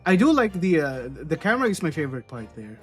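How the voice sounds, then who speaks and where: A man's voice: fairly high pitch, sing-song, quick pace, breathy edge, faint music behind. A man casually chatting and joking while playing through a story game. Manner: laid-back, joking reactions. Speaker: game streamer. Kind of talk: casual let's-play chat.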